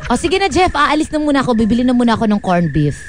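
A woman's voice: on the high side, very animated, fast, over music. An actress playing a long-suffering, submissive mother in a Filipino radio drama, pleading and flustered, with a Filipino accent. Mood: flustered, appeasing.

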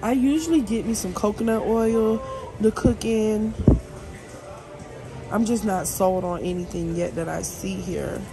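A woman's voice: mid-range voice, measured pace, over music. A woman filming a casual shopping vlog in a supermarket, chatting and thinking aloud as she reads labels. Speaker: vlogger. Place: supermarket aisles. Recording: noisy store background.

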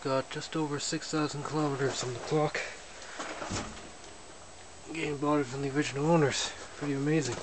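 A man casually showing off his snowmobile in a garage, talking in a relaxed, matter-of-fact way while filming with a handheld camera. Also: on the high side, a little fast, pauses often. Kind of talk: casual walkaround narration. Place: indoors, a garage or shed with windows. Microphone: handheld camera held by the speaker. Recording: noisy handheld camera audio.

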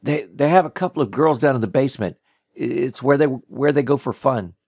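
A man's voice: medium pitch, brisk, creaky, darkish tone, dry recording, clean studio sound, pitched above the performer's own voice. A man voicing a frightened, stammering male captive in an audiobook thriller. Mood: scared.

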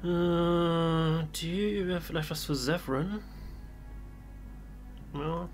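Musing man with soft music behind. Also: a little high, steady tone, extremely slow. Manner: musing, thinking aloud. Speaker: let's player. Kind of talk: casual in-character game commentary.

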